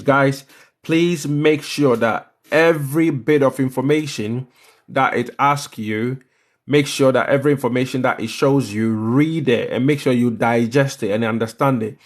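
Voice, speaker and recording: Ghanaian guy walking people through steps on a livestream, mid pitch, repeats himself to make the point. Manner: instructive, repeats phrases for emphasis. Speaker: livestream host explaining visa applications. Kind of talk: live step-by-step tutorial talk.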